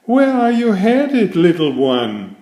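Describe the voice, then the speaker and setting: A man's voice: high-pitched, wildly swooping pitch, slow, dark tone, roomy sound, pitched above the performer's own voice, full character voice. A man voicing a cunning male storybook wolf with a coaxing, theatrical, falsely sweet and menacing manner. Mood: falsely charming.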